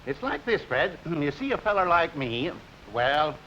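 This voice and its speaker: An eager small-town American gas station dealer with a bright, forceful voice and lively swings of pitch. He pitches in homespun, colloquial phrases like a 1940s promotional film. The old soundtrack is hissy and dull. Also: unhurried, heavily acted. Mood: grateful, earnest. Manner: folksy, colloquial, emphatic salesman talk. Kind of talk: 1940s sponsored film acting, scripted pitch.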